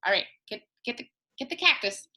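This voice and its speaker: A woman teacher reading a picture book aloud to young children, expressive and full of playful asides. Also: fairly high pitch, brisk. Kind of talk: children's storytime read-aloud.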